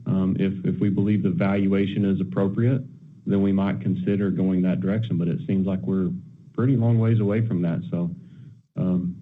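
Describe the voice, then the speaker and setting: A man answering as a company executive on an earnings call in a measured, matter-of-fact way. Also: sits low, level tone. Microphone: telephone conference line. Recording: narrow, tinny conference phone line.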